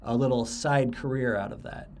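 A man's voice: mid-range voice, normal speed, darkish tone. A man hosting a podcast, chatting casually with guests and often repeating words as he restarts. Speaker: podcast host.

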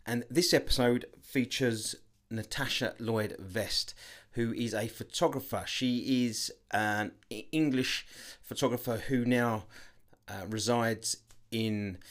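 A man hosting a podcast and introducing a guest in a measured way, with small restarts. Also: medium pitch. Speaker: podcast host. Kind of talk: scripted episode introduction.

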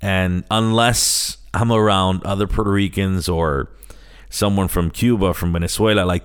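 A man's voice: sits low, smooth. A middle-aged man from Chicago with an American accent, talking casually as a podcast host and slipping between English and Spanish. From Chicago, United States. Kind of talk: casual solo podcast introduction.

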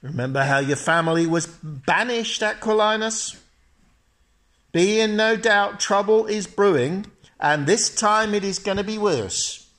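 A man's voice: high voice, measured pace, fairly bright tone, voice pushed up. Man doing a scornful young Roman friend. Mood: warning. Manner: urgent, mocking, lecturing.

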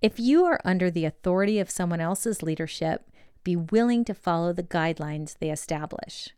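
A woman's voice: on the low side, animated, a little fast, darkish tone, clean tone. A woman reading a scripted instructional lesson aloud as a narrator. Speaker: reader of a leadership values lesson.

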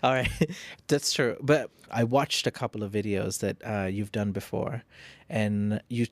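A man's voice: fairly low pitch, fairly even intonation, no room sound. A man hosting a podcast, asking questions in a friendly, casual way with lots of backchannel replies. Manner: friendly, backchannels often, some halting phrasing. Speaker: podcast host. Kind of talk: podcast intro and casual interviewing.

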